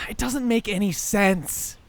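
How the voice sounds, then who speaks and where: A man's voice: high-pitched, slow, vocal fry, heavily acted. A man playing a brash, emotional teenage boy in an anime fan dub, swinging between hesitation and enthusiasm. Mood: dejected.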